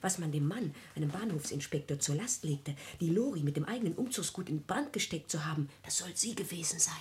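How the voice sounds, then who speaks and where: A woman's voice: low voice, animated, brisk, darkish tone. Venomous haughty society woman, swooping voice. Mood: accusing. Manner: cutting, emphatic, precise. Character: venomous, haughty, gossiping upper-class sister-in-law. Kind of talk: classic German radio play acting.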